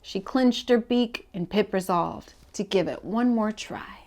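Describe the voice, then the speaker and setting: A woman reading a rhyming children's storybook aloud as a read-aloud host, with expressive, deliberate phrasing. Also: mid-range voice.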